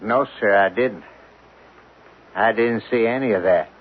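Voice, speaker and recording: An actor in a 1970s radio drama, playing a reluctant, evasive oil rig worker who is terse, deferential and guarded. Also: male voice, on the low side, a little slow. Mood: guarded, subdued. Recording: tinny narrowband 1970s radio recording.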